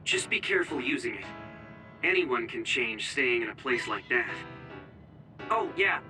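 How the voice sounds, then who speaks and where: An actor playing a frank, friendly young comrade in a video game, casual and plain-spoken. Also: male voice, mid-range voice, lively intonation, unhurried, bright, forceful tone, faint music behind. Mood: concerned. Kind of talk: video game cutscene voice acting.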